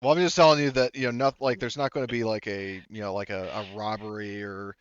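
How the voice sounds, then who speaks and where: A lowish, smooth male voice with a hesitant, conversational flow, guiding a tabletop roleplaying session as game master. He improvises scene descriptions and questions, and the sound is dry and slightly dull. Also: fairly even intonation, brisk, no room sound.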